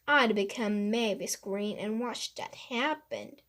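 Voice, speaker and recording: A woman voicing a mischievous woman mystery writer in a storybook, explaining with animated emphasis. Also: medium pitch, slow pace. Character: playful, mischievous woman mystery author confessing her scheme.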